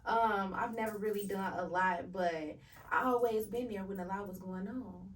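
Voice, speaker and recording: A woman's voice: medium pitch, steady tone, moderate pace, far from the mic. A woman in her thirties, a podcast guest talking candidly and casually about her life, with an easygoing manner. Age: 30s. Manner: laid-back, laughs things off, candid. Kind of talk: casual interview chat.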